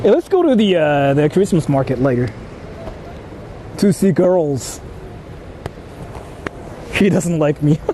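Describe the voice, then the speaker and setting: A man's voice: a little high, sing-song, takes it slowly, long pauses, dark tone. A man from Japan chatting casually to livestream viewers in slangy, repetitive phrases, out on a city street. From Japan (Ichihara). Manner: repeats himself, informal slangy talk. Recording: noisy urban street with traffic.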